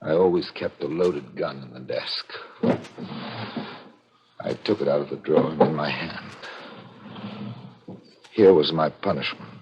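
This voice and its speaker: A man's voice: very deep voice, a little slow, long pauses, muffled recording, a little hiss or hum. An actor playing a guilt-ridden murderer narrating his own story in 1940s radio thriller style, brooding and melodramatic with desperate outcries. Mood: bleak, resigned. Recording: old radio drama with music.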